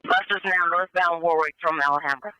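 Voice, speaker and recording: A man dispatches over police radio in formal, procedural phrases. His voice is fairly high for a man, extremely crisp and very sharp. Each report is relayed concisely, as a broadcast to units. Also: moderate pace, no room sound. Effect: police radio transmission.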